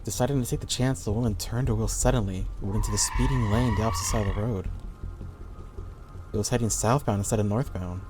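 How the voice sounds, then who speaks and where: A man's voice: lowish voice, fast. A man reading a scripted horror story as the narrator of an audio play. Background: background music.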